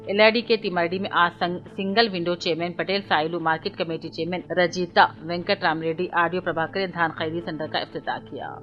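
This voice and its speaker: A woman's voice: lowish voice, steady tone, brisk, few pauses, highs cut off. A woman news reader delivering a scripted bulletin in a formal manner, reading item after item. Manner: formal, reading out items one after another. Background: background music.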